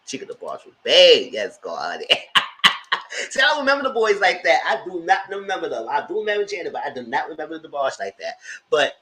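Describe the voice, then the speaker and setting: Man, high light voice, casual live-stream host, animated, bright tone. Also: fairly quick. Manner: chatty, laughs often, greets viewers. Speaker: host reviewing a documentary live.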